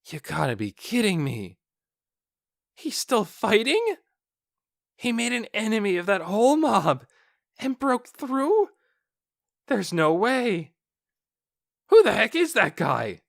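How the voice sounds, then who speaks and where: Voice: mid-range voice, huge pitch swings, slow pace, gravelly, long pauses, no echo, studio quality, voice pushed up, heavily acted. A man voicing an awestruck, shaken town guard in an audiobook, speaking in incredulous questions and exclamations. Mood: shocked.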